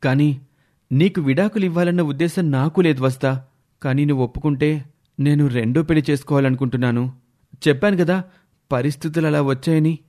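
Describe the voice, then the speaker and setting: A man acting a hesitant, meek young husband in a story, speaking haltingly. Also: fairly high pitch, mellow, natural voice. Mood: pleading, evasive.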